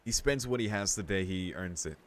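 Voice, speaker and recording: A man's voice: low-pitched, brisk. A man reading a visual novel aloud in a casual let's-play style, mixing narration with his own commentary.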